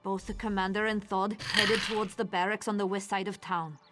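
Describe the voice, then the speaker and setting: An actress playing an easygoing but dismissive town guard in a fantasy video game, brisk and dryly sarcastic. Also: female voice, mid-range voice, flat delivery, loud music behind the voice, close to an everyday voice. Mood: dismissive, wry.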